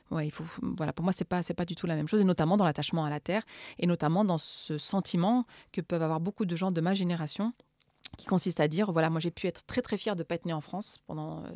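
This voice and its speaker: A middle-aged woman from Morocco gives long, reflective interview answers in a lowish voice. She hesitates often and repeats small words while she looks for the right phrase. The recording is dry and close, with no room echo. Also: a little mellow. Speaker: author and political scientist.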